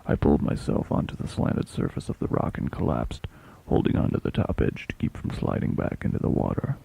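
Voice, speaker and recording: A man narrating a dramatic first-person sea story as a shipwrecked fishing captain, intense, theatrical and deliberate, with a gravelly, husky voice. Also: dark tone, low-quality recording. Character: shipwrecked fishing captain telling his tale.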